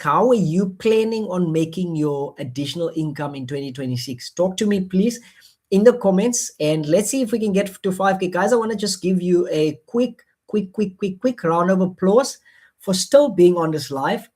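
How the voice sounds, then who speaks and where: A man with an Afrikaans accent talks straight to his live audience through a phone, selling an idea with steady encouragement. He circles back over the same phrases, stumbling through fillers and restarts as he coaxes viewers along. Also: sits high. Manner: repetitive, coaxing, many fillers and restarts. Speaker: online marketing presenter. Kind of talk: motivational sales pitch on a live stream.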